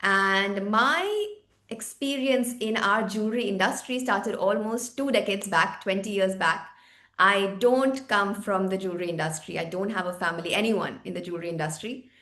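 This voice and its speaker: An articulate woman in her forties, from India, talks with bright enthusiasm as a seminar panelist. Her voice sits at a middle pitch. The video call audio carries a noticeably roomy echo. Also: normal speed. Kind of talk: online seminar panel talk.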